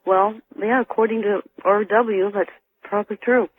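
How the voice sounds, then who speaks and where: A woman's voice: medium pitch, fairly even intonation, moderate pace, breathy edge. A woman calling a radio show by telephone, chatting and putting questions to the guests. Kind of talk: conversational phone-in questioning. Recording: thin, tinny telephone line.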